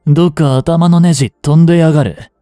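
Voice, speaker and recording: An actor playing a cocky, rough-tongued young male delinquent in a Japanese audio drama, with brash, slangy tough-guy talk. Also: a little high, steady tone, fairly quick, warm tone, no echo, studio quality, soft background music, natural voice. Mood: irritated, gruff. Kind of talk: Japanese audio drama acting, one-sided dialogue to the listener.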